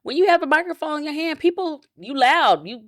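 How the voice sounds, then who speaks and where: A deep-voiced woman, speaking in a casual interview. She sounds animated and reflective, often restarting her sentences. The recording is dry and close, with no room sound. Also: brisk, no room sound. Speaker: interview guest.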